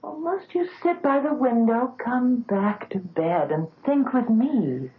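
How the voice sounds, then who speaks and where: A vain, fame-loving young wife in an old radio drama, high and swooping as she gushes and coaxes. It comes through thin and tinny, like an old broadcast. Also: lively intonation, slow pace. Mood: coaxing. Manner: gushing, coaxing, theatrical. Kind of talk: 1970s radio drama acting.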